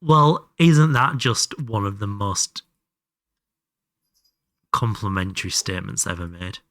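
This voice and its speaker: Low-voiced, sweary man streaming a game. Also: animated, slow pace, a bit bright, no room sound, clean studio sound. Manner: sweary, self-deprecating. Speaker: live streamer playing a video game. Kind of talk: casual live game commentary, chatting with viewers.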